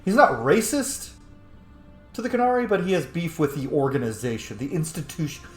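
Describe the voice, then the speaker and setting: A man talks casually through a game playthrough, musing and emphatic. His pitch swings widely from phrase to phrase. The room is echoey, with faint music underneath. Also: very animated, fairly quick. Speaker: gamer, host of a roleplay playthrough.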